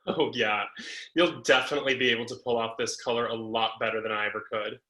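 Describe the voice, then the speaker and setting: A man's voice: medium pitch, fairly even intonation, fast, bright, forceful tone, lightly acted, rough recording. Sassy, self-adoring mannequin diva for a stage reading, played by a man; forward, cutting tone, camp delivery. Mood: breezy, girlish banter. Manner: sassy, campy, theatrical indignation. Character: sassy, vain talking mannequin who calls itself a womannequin.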